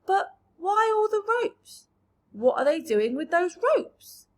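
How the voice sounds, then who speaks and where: A woman doing a brash, sharp-tongued centipede. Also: very high voice, very animated, slow, voice pushed up, full character voice. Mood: curious. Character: male centipede, bold, sharp-tongued and cocky.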